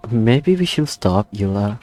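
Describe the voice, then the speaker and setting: Slow, very deep-voiced woman reacting to a game. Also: expressive, mellow. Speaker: gamer reacting to a story quest. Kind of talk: casual reaction commentary over gameplay.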